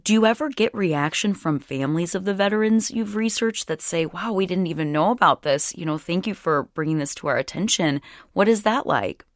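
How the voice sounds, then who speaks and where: A woman conducts an interview in a slightly low voice with animated, swinging intonation. She sounds attentive and conversational. The recording is dry and free of room echo. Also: a little fast, hardly any pauses. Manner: attentive, frequent listening murmurs.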